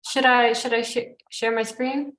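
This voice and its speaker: A woman with a fairly high voice joins by video call, sounding echoey. She speaks in a casual, polite way as a guest presenter. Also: fairly even intonation, a little slow, fairly bright tone.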